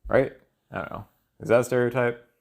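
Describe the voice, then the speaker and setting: A man's voice: mid-range voice, steady tone, brisk, creaky. Man rambling through his thoughts aloud. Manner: hesitant, searching, trails off mid-thought. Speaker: solo audio log creator. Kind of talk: rambling, thinking-aloud monologue.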